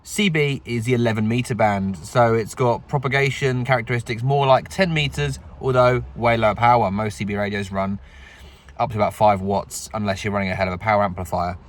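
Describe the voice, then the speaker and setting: A man's voice: lowish voice, steady tone, fairly quick. A man presenting a casual amateur radio vlog, recorded on a phone in and around a vehicle. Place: outdoors and in a vehicle. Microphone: phone camera.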